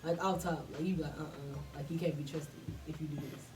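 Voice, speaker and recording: A woman's voice: low-pitched, fairly even intonation, fast talker. A young woman hosting a casual group chat in a slangy, informal way. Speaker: podcast host. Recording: distant mic, music behind.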